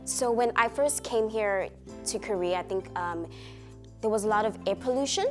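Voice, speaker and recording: A woman in her twenties with a South African accent, giving measured answers as an interviewee. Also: medium pitch. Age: 20s. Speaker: interviewee, English teacher. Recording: music behind the voice.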